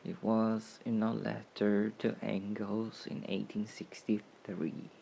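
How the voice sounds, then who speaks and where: A man with a lowish, gravelly voice performs a soft comfort roleplay. He speaks very slowly and gently, coaxing the listener along. There is faint noise behind him. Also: flat delivery, low-quality recording. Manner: gentle, coaxing, slow and unhurried. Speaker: roleplay audio performer playing a caring comrade.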